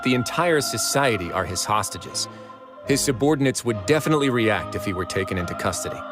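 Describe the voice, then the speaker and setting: A man acts out a cool, commanding duke who runs a prison in a video game. His voice is low, and he reasons in measured, deliberate sentences like a shrewd investigator. Music plays clearly behind him. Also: animated, lightly acted. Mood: brooding, analytical. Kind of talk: animated video game voice acting.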